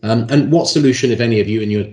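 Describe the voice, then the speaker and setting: A man's voice: medium pitch, very fast, a little mellow. A man hosting a business interview, putting conversational questions to a guest, with a roomy echo on his voice. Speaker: interviewer, host. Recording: roomy, echoing voice pickup.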